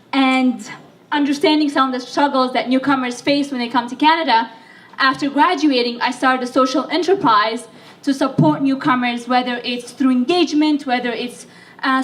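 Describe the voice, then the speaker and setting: A woman's voice: high-pitched, fairly bright tone. A young woman from Libya, who is sick, giving a chatty, self-deprecating speech to an audience, with plenty of fillers. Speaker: speaker. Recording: voice from far off the mic.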